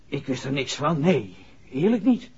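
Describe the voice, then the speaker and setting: An actor playing a grumbling, defensive young playboy star with a husky voice and a hesitant, indignant manner, in 1960s radio drama style. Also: male voice, a little high, very animated, unhurried, a little mellow, exaggerated voice. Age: young adult. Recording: dull 1960s radio recording.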